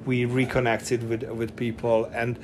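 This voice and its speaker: A man's voice: medium pitch, completely flat delivery, a little slow, noisy background. Hesitant Hungarian-accented man chatting. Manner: hesitant, many fillers and restarts, laughs often. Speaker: guest. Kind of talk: casual interview conversation.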